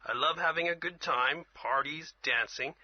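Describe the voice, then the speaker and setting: A man's voice: a little high, normal speed, dry recording, natural voice. A man in his thirties acting out a casual self-introduction for a listening exercise. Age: 30s. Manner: casual, self-describing monologue. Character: outgoing young man describing himself. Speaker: dialogue actor. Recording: dull dry lo-fi audio.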